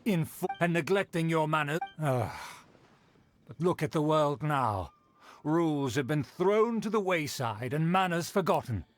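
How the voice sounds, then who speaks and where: A man's voice: fairly high pitch, lively intonation, unhurried. Stuck-up noble man in a game, super formal n scornful, voice goes up and down a lot. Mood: haughty, scornful. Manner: pompous, formal, disdainful. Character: haughty, indignant male aristocrat of a declining noble clan. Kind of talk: scripted video game character acting.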